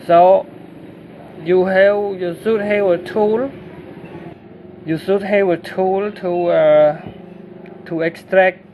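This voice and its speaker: A man with a Khmer accent narrates a screen tutorial, stopping often to fill gaps and restart his sentences. His voice sits a little high and carries a gravelly fry, and he talks slowly. The mic sounds dull and lo-fi, with a faint hiss underneath. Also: fairly even intonation. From Cambodia. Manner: halting, many fillers and restarts.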